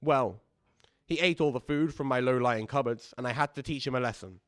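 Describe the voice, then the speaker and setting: A man's voice: medium pitch, brisk, no room sound, clean studio sound, lightly acted. A man playing a callous, aggrieved old widower who rambles pedantically and justifies himself in a confiding radio drama monologue. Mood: pedantic, callous.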